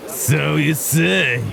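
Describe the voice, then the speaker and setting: A man's voice: a little high, gravelly, exaggerated voice. A man playing a hulking, cocky fish-man warrior who jeers and taunts in an audio drama. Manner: taunting, slow swagger.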